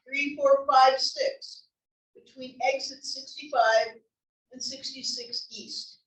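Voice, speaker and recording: A no-nonsense old wife, read by a woman at a comedy table read, dry and impatient with her husband. Her voice is high and carries from a distance in an echoey room. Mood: exasperated.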